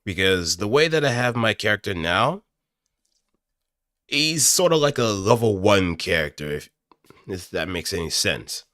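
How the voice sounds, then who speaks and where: A man's voice: lowish voice, big pitch swings, measured pace, fairly bright tone, dry recording. A man talking casually and thinking aloud as he explains his ideas like an amateur voice actor, on a close microphone. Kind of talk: casual improvised explanatory commentary. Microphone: close studio microphone through an audio interface.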